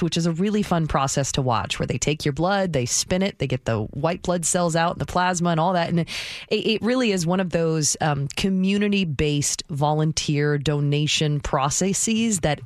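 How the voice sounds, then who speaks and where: A woman hosting live radio news, talking in a chatty, expressive conversational style. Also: low-pitched, moderate pace, no room sound, clean studio sound.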